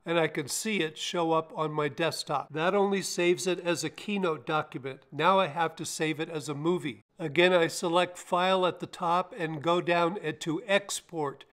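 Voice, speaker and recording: Calm older man narrating a software tutorial. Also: fairly high pitch. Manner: calm, methodical, talks the viewer through each click. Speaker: tech tutorial host. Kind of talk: step-by-step screen-recorded software tutorial.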